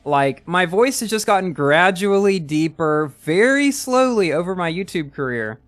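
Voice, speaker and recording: A man's voice: high voice, big pitch swings, moderate pace, fairly bright tone, faint music behind. A man in his early twenties chatting casually while livestreaming a game and reading chat, rambling and often repeating words as he restarts. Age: early 20s. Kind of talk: casual live-stream chat.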